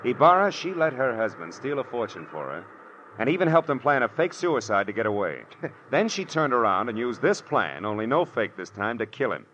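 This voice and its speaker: An American actor playing a shrewd, world-weary private detective in 1940s radio drama, with a clipped, wry, emphatic delivery. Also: male voice, mid-range voice, big pitch swings, brisk, fairly bright tone, a little hiss or hum. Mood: wry, explanatory. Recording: muffled old radio broadcast, music behind.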